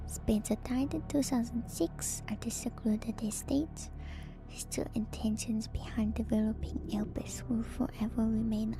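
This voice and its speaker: A woman speaks in a hushed ASMR whisper at a very unhurried pace, with a fairly high voice. She muses as she reads, pausing hesitantly like a streamer thinking aloud. Music plays clearly behind her. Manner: hushed, musing, hesitant pauses. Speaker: streamer reading and discussing game lore.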